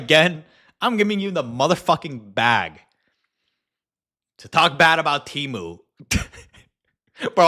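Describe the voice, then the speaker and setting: A young man hosting a video, talking casually with swearing, then narrating a documentary intro. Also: sits high. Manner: animated, laughs often, swears casually. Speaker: YouTube host.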